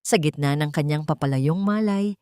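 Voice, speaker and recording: A woman's voice: sits low, moderate pace, warm tone, clear, resonant tone, dry recording, studio quality. A woman reading a scripted, dramatic story as an audio drama narrator.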